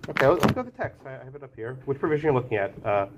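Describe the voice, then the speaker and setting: A man's voice: mid-range voice, big pitch swings, racing, highs cut off, noisy background. Congested male law professor quizzing a class. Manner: questioning, Socratic, calls on students by name. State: slightly congested, lower voice than usual. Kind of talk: classroom lecture with questions. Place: law school lecture room.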